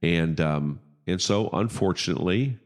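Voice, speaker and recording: A man's voice: sits low, moderate pace. A middle-aged man with a big voice, a pastor hosting a casual podcast chat and talking emphatically, often checking in with "okay?".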